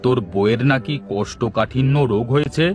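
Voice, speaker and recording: A man's voice: mid-range voice, fairly quick, soft background music, lightly acted. A man voicing a harsh village headman who gives curt, menacing orders. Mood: threatening.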